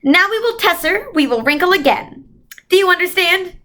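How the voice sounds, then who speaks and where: A woman plays a reassuring otherworldly female guide in a storybook. The voice is high and rises often, with a patient, encouraging way of explaining things. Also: lively intonation, normal speed, bright, forceful tone, full character voice.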